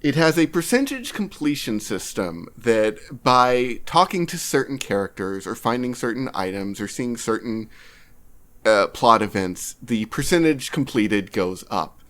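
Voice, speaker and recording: A man's voice: medium pitch, very animated, unhurried, fairly bright tone. A man co-hosting a podcast, chatting casually about games in a wry, self-mocking way. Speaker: podcast co-host. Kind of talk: casual anecdotal game talk.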